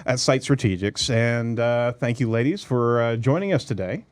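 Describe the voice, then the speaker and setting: A man hosting a casual studio talk show, joking and energetic, often stumbling and repeating words. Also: medium pitch, normal speed. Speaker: host.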